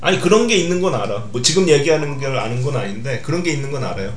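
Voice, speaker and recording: A man's voice: mid-range voice, expressive, racing, a crowd in the background. Man hosting in an echoey room. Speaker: podcast host. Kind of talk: casual chat, interviewing.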